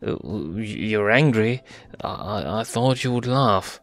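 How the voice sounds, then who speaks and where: A man's voice: lowish voice, lively intonation, takes it slowly, faint music behind. A man voicing a playful, hapless young male lion cub in an amateur comic dub, stammering and hesitant. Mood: sheepish, dejected. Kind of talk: amateur comic fandub acting.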